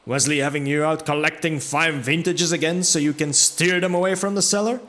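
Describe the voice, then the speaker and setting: A man's voice: a little high, fairly even intonation, fairly quick, fairly bright tone, voice pushed up, natural voice. Man acting a hearty, teasing monk. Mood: jovial teasing. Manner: hearty, mischievous banter. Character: jovial teasing brother of a monastery, adult man.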